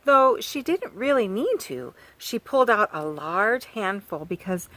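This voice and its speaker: A woman, a children's author, reading a picture book aloud outdoors by her farm garden, talking to young listeners in an animated, sing-song way and asking them questions. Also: medium pitch, a little slow, no room sound, low-quality recording.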